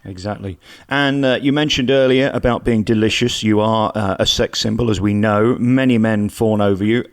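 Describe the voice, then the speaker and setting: A man with an English accent, hosting a casual celebrity interview in an effusive, flattering and cheeky way. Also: fairly low pitch, moderate pace, hardly any pauses.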